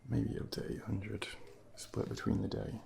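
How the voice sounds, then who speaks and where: A man speaking softly and breathily, at times whispering, as a gentle, reassuring doctor in an ASMR medical role play, close to a binaural microphone. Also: lowish voice, completely flat delivery. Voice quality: breathy soft voice slipping into whispers. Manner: gentle, reassuring, unhurried asides. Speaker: ASMR performer playing a junior doctor. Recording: clearly noisy close binaural recording, ambient background.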